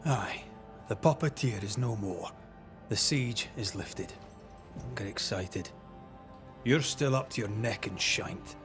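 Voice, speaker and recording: An actor playing a stern, plain-spoken banisher of ghosts in a video game, blunt and commanding in manner. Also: male voice, lowish voice, slow pace, over music. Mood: blunt, accusing. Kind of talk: dramatic video game acting.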